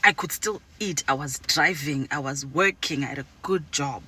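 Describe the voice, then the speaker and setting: A woman's voice: deep voice, normal speed, pushed and bright, breathy edge. A young woman from Zimbabwe speaking candidly and with animation, switching between languages mid-sentence, blunt and sometimes profane. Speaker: interviewee. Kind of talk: candid talk on camera.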